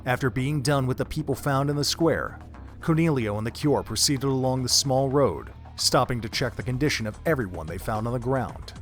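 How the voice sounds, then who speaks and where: Man narrating a dark story. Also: medium pitch, soft background music. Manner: steady, measured storytelling. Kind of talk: scripted audio drama narration.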